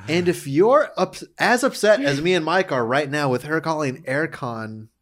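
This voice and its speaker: A man's voice: moderate pace, a little hiss or hum. An American man whose first language was Spanish, hosting a casual chat and teasing with big swings in his voice. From United States. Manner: animated, teasing, swings in pitch.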